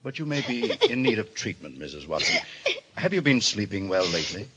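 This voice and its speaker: An actor playing a measured, cautious psychiatrist, probing and reassuring with hesitant phrasing in 1940s radio thriller style. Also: male voice, on the low side, sing-song, a bit bright, slight background noise.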